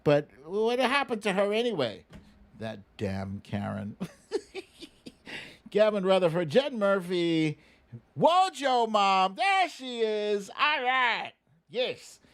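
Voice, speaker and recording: A man's voice: sits high, big pitch swings, takes it slowly, a bit bright. A middle-aged man hosting a live stream, chatting casually and playfully as he runs a guessing game and reads out names. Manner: playful, hums and recites lyrics. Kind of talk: casual chat, running a song guessing game and reading out names.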